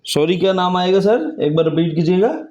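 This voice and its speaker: Polite, higher-pitched man, noisy recording. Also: very animated, fairly quick, a little mellow. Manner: polite, deferential, repeats himself. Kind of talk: phone conversation. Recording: clearly noisy field recording.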